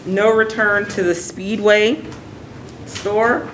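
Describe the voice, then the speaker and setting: A woman's voice: medium pitch, steady tone, slow, slight background noise, poor audio. Formal female prosecutor listing terms. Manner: formal, reads terms as a list. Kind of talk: courtroom plea offer.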